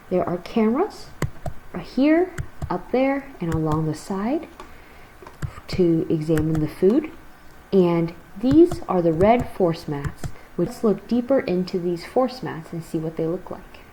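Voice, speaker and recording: A woman's voice: lowish voice, animated, a little slow, mellow, a little hiss or hum, rough recording. A young woman presenting a product model and guiding listeners through its parts. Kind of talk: product demonstration.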